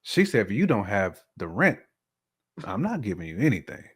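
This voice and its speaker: A man's voice: fairly low pitch, very animated, normal speed, slightly rough. An American man co-hosting a casual relationship talk show, giving emphatic opinions, repeating his points and acting out imagined quotes. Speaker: podcast co-host.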